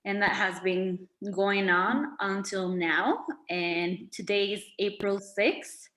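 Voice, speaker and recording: A young adult woman student from Mexico, talking through a class discussion in a measured, thoughtful way with some hesitations. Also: mid-range voice, flat delivery, a bit bright. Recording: echoey room.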